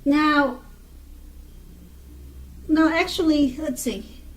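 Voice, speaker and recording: A woman giving an unscripted tarot card reading in a halting way, pausing and repeating her phrases. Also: sits high, fairly even intonation, rough recording. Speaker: tarot reader.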